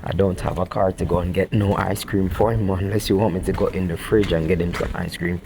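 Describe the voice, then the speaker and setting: A man's voice: sits low, steady tone, a little fast, gravelly, background noise. A man chatting casually, often repeating his phrases for emphasis. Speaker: vlogger testing walkie-talkies outdoors. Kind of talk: casual chat over walkie-talkie.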